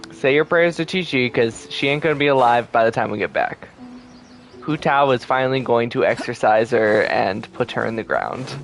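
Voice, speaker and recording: A man's voice: mid-range voice, a bit bright. A man giving casual gameplay commentary as a streamer, chatty, colloquial and self-deprecating. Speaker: game streamer.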